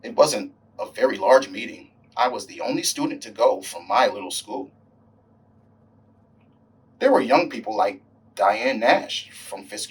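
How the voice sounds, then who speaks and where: A man voicing an earnest older civil rights veteran recalling his youth in deliberate storybook narration. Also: medium pitch, breathy edge, distant mic, poor audio.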